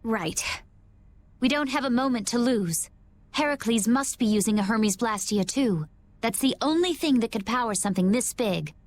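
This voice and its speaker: An actress playing a composed young warrior who speaks calmly and evenly. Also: female voice, on the high side, close to an everyday voice. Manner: calm, measured.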